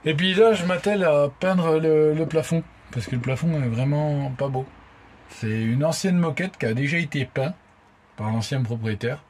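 A man's voice: a little high, normal speed, darkish tone, slight background noise. A young man chatting casually and wryly as a vlogger, in an informal, colloquial way, recorded on a handheld phone. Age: young adult. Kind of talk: casual vlog chat. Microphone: phone at arm's length.

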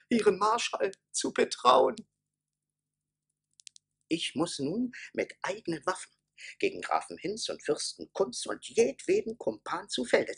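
Raspy, blustering fat old-world marshal. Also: male voice, high-pitched, pushed and bright, full character voice. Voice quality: raspy, gravelly. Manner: sputtering, indignant, pompous. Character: fat blustering imperial marshal in clanking tin armour. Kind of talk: theatrical German radio play acting.

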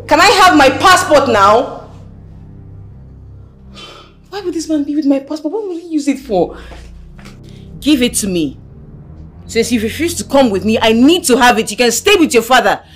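A young woman acting as a proud, angry wife and mother who talks in a sharp, accusing way. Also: fairly high pitch. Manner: sharp and accusing, then screams in panic. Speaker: actor.

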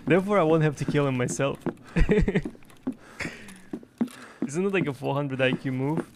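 A man's voice: on the high side, moderate pace, heavy background noise. A young man from Russia chatting casually while streaming an online game, repeating short phrases, recorded close on a mic at a desk. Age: young adult. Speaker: streamer playing an online shooter. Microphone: boom mic close up, with headset.

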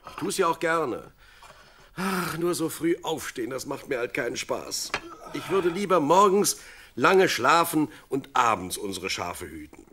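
A man playing a sleepy, cheerful young shepherd boy in an audio play, with a playful and expressive manner. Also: on the high side, unhurried, a little hiss or hum, full character voice. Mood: sleepy, grumbling. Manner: playful, expressive, talks to himself and his sheep.

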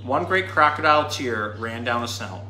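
Guy in his 30s doing storytime, bright voice, dramatic, roomy sound w a bit of noise. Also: medium pitch, normal speed. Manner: animated, dramatic reading. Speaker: zookeeper reading a storybook. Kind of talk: expressive children's storytime read-aloud. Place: indoor room.

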